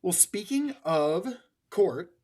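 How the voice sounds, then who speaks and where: A man's voice: high-pitched, big pitch swings, slow, darkish tone, husky. An American man hosting a podcast in casual political discussion, emphatic and sweary, often repeating words as he goes. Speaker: podcast host.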